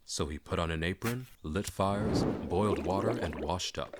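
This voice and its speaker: A man's voice: deep voice, moderate pace, heavy background noise. A man narrating a classic fantasy story as a dramatic audiobook reading.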